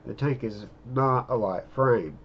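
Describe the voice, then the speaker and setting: A man's voice: mid-range voice, a little slow, highs cut off, slight background noise. A middle-aged man giving a casual, plain-spoken review of drone parts outdoors, speaking close to a handheld camera. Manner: relaxed, plain-spoken hobbyist talk with fillers. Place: outdoors in an open field.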